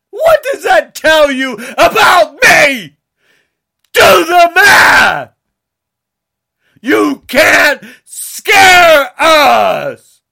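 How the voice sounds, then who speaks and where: A man from the United States, crying and shouting through an angry rant. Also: extremely high pitch, gravelly. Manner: shouting, tearful, ranting. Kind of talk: angry political rant to camera.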